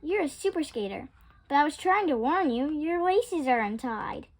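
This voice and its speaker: A woman's voice: sits high, animated, moderate pace. A woman voicing a male big bad wolf from a storybook, forthright and friendly underneath.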